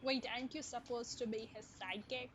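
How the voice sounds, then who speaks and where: A woman's voice: a little high, fairly even intonation, brisk, a bit bright. A woman livestreamer giving casual reaction commentary on a game, with game music behind her voice.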